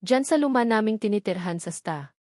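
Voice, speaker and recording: A woman voicing a smooth-talking, controlling rich man who puts on a cheerful, friendly act in a storybook reading. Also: medium pitch, expressive, a little fast, a little mellow, no echo, clean studio sound, natural voice. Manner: breezy, chatty, overly friendly.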